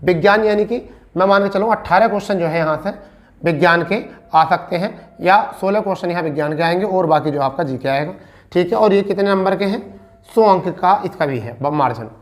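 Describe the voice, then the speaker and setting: A man's voice: high-pitched, brisk. A man teaching an informal online class, addressing students in a reassuring, instructive way and repeating key points for emphasis. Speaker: teacher giving exam updates to students.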